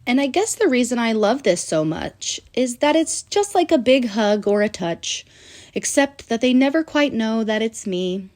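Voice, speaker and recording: Animated woman reading a children's storybook. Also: on the high side. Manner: animated, sing-song storytelling with deliberate pauses. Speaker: children's book narrator. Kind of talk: expressive read-aloud of a rhyming children's picture book.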